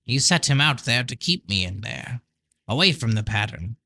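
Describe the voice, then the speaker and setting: A man's voice: mid-range voice, expressive, normal speed, pushed and bright, no echo. A man voicing a mad old sorcerer, crafty and blunt, speaking in a dramatic, insistent way as part of a fantasy book reading. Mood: sly, accusing.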